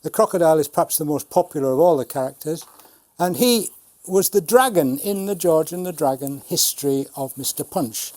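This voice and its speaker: A man's voice: on the high side, a little fast, a little mellow. A man in his seventies, a retired puppeteer, giving an explanatory talk about his craft. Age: 70s. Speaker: retired Punch and Judy puppeteer.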